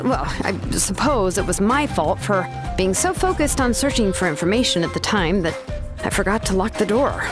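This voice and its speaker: An actress playing a laid-back, matter-of-fact young craftswoman in a video game, explaining things in a measured, casual way. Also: medium pitch, lightly acted. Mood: casual, mildly rueful.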